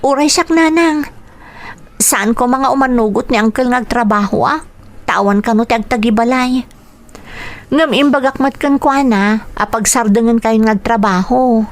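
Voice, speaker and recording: Woman acting a high-voiced, protective young daughter. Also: normal speed, natural voice. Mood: earnest, insistent. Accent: Ilocano. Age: young adult. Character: protective grown daughter, newly employed, concerned for mother. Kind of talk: radio drama acting.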